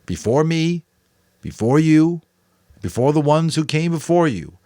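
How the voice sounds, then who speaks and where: A man's voice: fairly high pitch, very animated, unhurried, mellow, dry recording, clean studio sound, pitched above the performer's own voice. A man voicing a distraught, bitterly defiant adolescent boy in a dramatic audiobook reading. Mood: despairing. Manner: tearful, sarcastic, spitting out questions.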